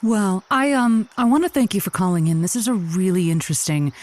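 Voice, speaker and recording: Woman playing a measured, tactful radio advice hostess. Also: medium pitch, darkish tone, natural voice. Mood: earnest, tactful. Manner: measured, polite, tactful, slightly formal. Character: sympathetic, earnest radio advice show hostess Josie. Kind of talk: call-in advice show, improvised comedy.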